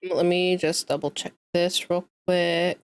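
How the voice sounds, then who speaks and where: A woman's voice: on the low side, flat delivery, unhurried, clean tone. A woman doing casual let's play commentary, chatty and playful, often breaking off mid-sentence and reading game text aloud. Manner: chatty, self-interrupting, playful asides while snacking; reads game dialogue aloud, stumbling over fantasy names. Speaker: streamer, gamer. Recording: game music behind the voice. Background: video game music.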